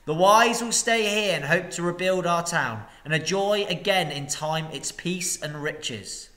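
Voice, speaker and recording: Guy doing a pompy old town boss, loud and whiny-high, bright voice, storybook read-aloud. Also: lively intonation, unhurried, exaggerated voice. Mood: haughty. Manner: loud, haughty, indignant speechifying. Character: self-serving older male town master, pompous.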